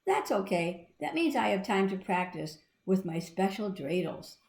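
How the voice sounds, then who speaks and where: A woman's voice: mid-range voice, big pitch swings, unhurried, creaky, a little mellow, slight background noise. A woman voicing an eager, earnest, determined little girl from a storybook. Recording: echoey room.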